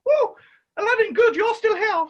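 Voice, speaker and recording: Very shrill, excitable panto dame. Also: female voice, moderate pace. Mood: excited. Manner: exclaiming, broad, theatrical. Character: excitable pantomime dame, bustling mother of the hero. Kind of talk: amateur pantomime on a video call. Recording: dull lo-fi video call audio.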